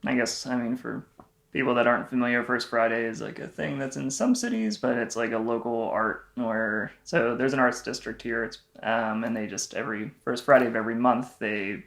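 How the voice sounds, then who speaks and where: A man's voice: medium pitch, fairly quick, vocal fry, fairly bright tone. A young man co-hosting a travel vlog, chatting casually and rambling with lots of fillers. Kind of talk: casual chat.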